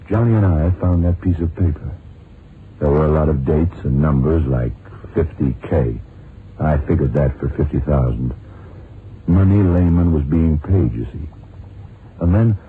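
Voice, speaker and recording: A self-assured radio detective with an extremely low voice. He is unruffled and dryly explanatory, as in a crime drama. The old recording is narrow and muffled, with some noise. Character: cool, self-assured detective who outwits gunmen.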